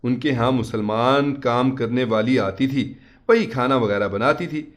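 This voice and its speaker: A man's voice: medium pitch, unhurried. Male storyteller narrating a moral tale. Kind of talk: scripted narration of a first-person moral story.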